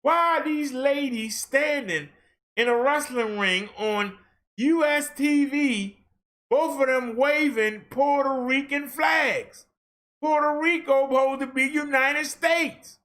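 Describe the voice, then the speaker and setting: A man vlogging a heated rant in casual colloquial speech, firing off rhetorical questions and at times shouting. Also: very high-pitched, takes it slowly. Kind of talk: ranting social commentary.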